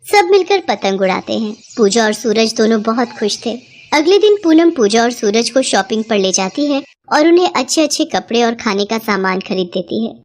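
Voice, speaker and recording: A woman's voice: on the high side, big pitch swings, moderate pace, slight background noise. A woman narrating a scripted children's moral story as the storyteller.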